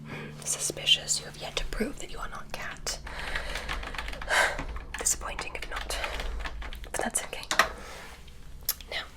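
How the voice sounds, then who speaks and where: A woman voicing a curious, polite female alien doctor who examines a human, speaking in a soft, slow, breathy whisper with gentle instructions, close to the mic. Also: natural voice. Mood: curious, soothing. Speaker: ASMR creator. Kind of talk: ASMR medical roleplay.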